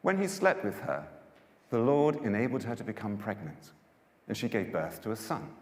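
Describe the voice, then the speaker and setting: A man performing a dramatised scripture narration in a theatrical, declamatory manner with deliberate pauses, live in a large church hall. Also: fairly low pitch, sing-song, a little mellow. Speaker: Bible storyteller performer. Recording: echoey church hall with long reverb.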